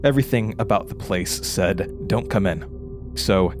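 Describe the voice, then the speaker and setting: An actor playing a haunted, weary, wry man who confides his story haltingly in a horror audio drama. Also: deep voice, music in the background. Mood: brooding, tense. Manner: hesitant, confessional, halting. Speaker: lead character and narrator of a horror audio drama. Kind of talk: horror audio drama acting, narration and intimate dialogue.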